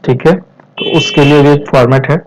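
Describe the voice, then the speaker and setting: A man in his twenties with an Indian accent explains step by step as a tutorial instructor, in halting short phrases mixed with English terms. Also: on the high side, steady tone, a little hiss or hum. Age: 20s. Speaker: programming instructor. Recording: dull, lo-fi voice recording.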